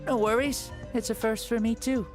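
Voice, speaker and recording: A man's voice: very high voice, fairly even intonation, moderate pace. A man voicing a playful, teasing revived ancient god in a video game. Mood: wry. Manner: teasing, wry. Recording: game audio with faint music.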